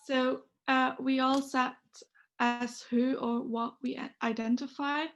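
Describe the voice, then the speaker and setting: A woman's voice: high-pitched, flat delivery, takes it slowly. A young woman moderating a casual video call chat, asking questions unhurriedly. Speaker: discussion moderator.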